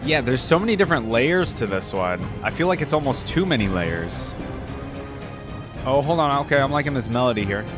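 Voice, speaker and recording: Loud game music runs behind a man's voice. He gives informal reaction commentary as a video host, thinking aloud in a casual way. His pitch sits in the middle range for a man. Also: sing-song, fairly quick.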